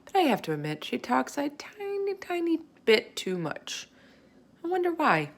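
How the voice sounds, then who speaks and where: A woman's voice: high voice, wildly swooping pitch, moderate pace, creaky, voice pushed up, full character voice. A woman voicing a small, kindly male hamster who narrates a children's storybook with earnest wonder. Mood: earnest, musing. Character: small chatty classroom hamster, male, kind and observant storyteller.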